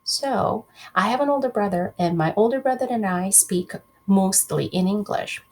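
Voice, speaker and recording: A woman's voice: mid-range voice, normal speed, poor audio. A woman teacher reading a children's book aloud, expressively, breaking off to question her pupils directly.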